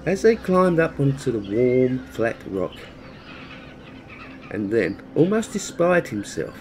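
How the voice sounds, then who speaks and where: A man narrating a children's story expressively, in a measured way with dramatic pauses. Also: medium pitch, sing-song, a little mellow, slight background noise. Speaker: storyteller, channel host.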